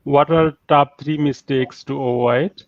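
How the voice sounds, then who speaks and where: A man's voice: mid-range voice, steady tone, measured pace, no room sound, lo-fi sound. A man hosting an interview, asking brief, direct questions. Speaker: interview host.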